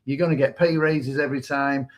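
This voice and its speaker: Man with a Manchester accent, casual co-host; easygoing chat, medium pitch. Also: fairly quick. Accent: Manchester English. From England. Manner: relaxed, conversational, with fillers. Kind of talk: casual online talk show.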